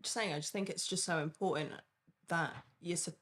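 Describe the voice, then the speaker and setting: A woman's voice: fairly low pitch, a little fast. Woman, wedding photographer on a podcast, chatty and a bit bossy. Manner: bossy, lively, laughs often. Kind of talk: casual podcast chat.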